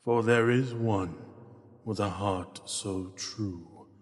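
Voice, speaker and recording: A man voicing a solemn, servile spirit of a magic mirror in a fantasy audio roleplay, speaking in measured, archaic rhyme. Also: fairly low pitch. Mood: grave. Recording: long cavernous reverb.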